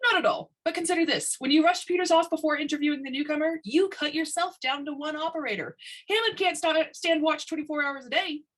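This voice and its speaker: A cheerful, self-satisfied male inspector acted out by a woman storyteller, breezy and pedantic. The voice is pitched well up and sounds brashly sure of itself. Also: a little fast, fairly bright tone. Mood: smooth, insistent. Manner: breezy, pedantic, formal wording.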